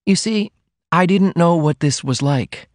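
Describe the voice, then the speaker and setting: A man voicing a shy, tender young woman in love, speaking softly and earnestly as in an audiobook. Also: fairly high pitch, animated, a little slow, dry recording, clean studio sound, voice pushed up. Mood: shy wonder. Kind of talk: audiobook character dialogue.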